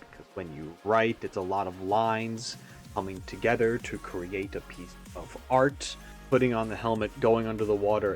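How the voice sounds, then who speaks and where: A man giving casual, rambling analysis as a video host, musing and tentative as he thinks aloud. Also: lowish voice. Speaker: YouTube reaction host.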